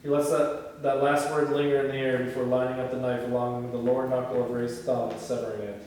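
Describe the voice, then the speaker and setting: A man's voice: medium pitch, little pitch movement, quick pace, darkish tone. Distant man reading in a very echoey space. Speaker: stage-direction reader and film crew member. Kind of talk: reading screenplay directions at a table read, casual discussion. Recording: echoey hall, distant mic, faint noise.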